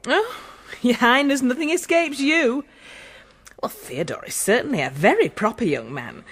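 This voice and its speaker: Gossipy young maidservant, high-pitched, very animated, breathless, melodramatic, radio drama. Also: female voice, normal speed, slightly rough, exaggerated voice. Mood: coy, gossipy.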